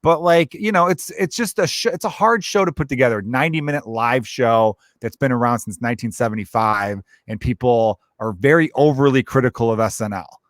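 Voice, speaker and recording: Thirties podcast host, easygoing and supportive, dry close mic. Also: male voice, medium pitch, a little fast, hardly any pauses, dry recording. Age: 30s. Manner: friendly, encouraging, talks in loose run-on sentences. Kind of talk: casual chat.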